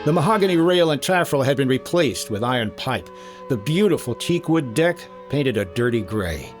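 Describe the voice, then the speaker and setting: A man's voice: mid-range voice, animated, normal speed, faint music behind, close to an everyday voice. A man playing a cool, tough investigator in 1950s radio detective style, clipped, dry and dramatic. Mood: tense narration. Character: cool, wry, tough male investigator and narrator.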